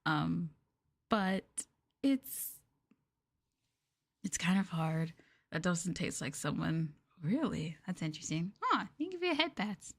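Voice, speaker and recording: American girl streamer, kinda low soft voice, chill asmr chat, reacts a lot. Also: animated, takes it slowly, long pauses. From United States. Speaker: streamer chatting with live viewers.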